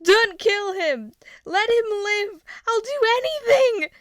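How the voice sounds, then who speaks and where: A woman reading the part of a young prince who shouts and pleads in anguish. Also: on the high side, moderate pace, smooth, no room sound, clean studio sound, lightly acted. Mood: pleading.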